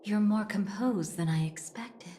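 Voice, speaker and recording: An actress playing a calm, composed comrade in a video game story, speaking in a cool, measured, matter-of-fact way with quiet care. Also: female voice, medium pitch, a little hiss or hum, natural voice. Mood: calm, gentle. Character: calm, composed, caring female comrade, probing gently. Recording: music behind.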